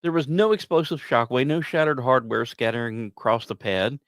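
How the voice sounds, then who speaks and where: A man hosting a podcast, reading scripted narration with casual asides in a storyteller's manner, with dramatic pauses and emphasis. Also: medium pitch, no room sound. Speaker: podcast host.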